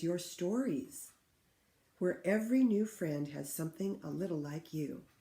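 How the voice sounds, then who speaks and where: A woman reading a picture book aloud to children in an expressive, teacherly way, pausing to ask them questions. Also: lowish voice, a little hiss or hum. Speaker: read-aloud host for children. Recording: roomy, echoing room sound.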